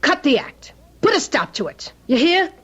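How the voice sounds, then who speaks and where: An actress playing a sharp-tongued, suspicious American working-class wife, blunt and scolding, in an old-time radio drama. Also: female voice, sits high, sing-song, normal speed.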